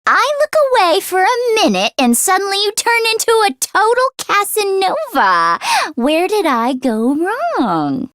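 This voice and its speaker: Teenage girl mechanic, anime video game, extremely high voice, earnest, anxious, sometimes sassy, expressive, dry studio sound. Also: a little slow, no room sound, full character voice. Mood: exasperated. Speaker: voice actress. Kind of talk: anime-style video game character voice lines.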